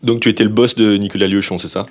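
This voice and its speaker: A man hosting a casual interview, who talks in a relaxed way, sometimes stumbles over his words, and slips in English terms. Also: on the low side, quick pace. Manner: relaxed, anglicisms, stammering restarts. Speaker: podcast host.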